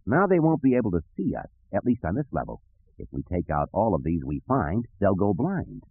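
Guy doing a cocky sci-fi rogue, smooth talker, husky edge, lively, audiobook. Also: deep voice, moderate pace, narrow, tinny audio, heavily acted. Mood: confident.

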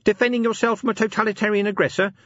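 A man's voice: sits high, fairly even intonation, very fast, fairly bright tone, no echo, highs cut off, voice pushed up. A man voicing a scheming, cheeky city watchman in an audiobook story, rambling eagerly in colloquial speech.

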